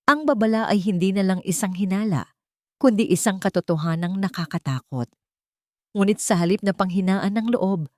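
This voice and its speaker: A woman's voice: lowish voice, a little mellow, no echo, clean studio sound. A woman reading a scripted story as an audiobook narrator, in a dramatic storytelling style.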